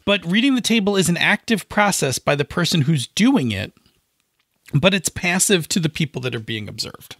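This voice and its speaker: Higher-pitched man explaining methodically. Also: lively intonation, normal speed, a bit bright, breathy edge, no room sound, studio quality. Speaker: podcast co-host. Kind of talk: explanatory podcast discussion.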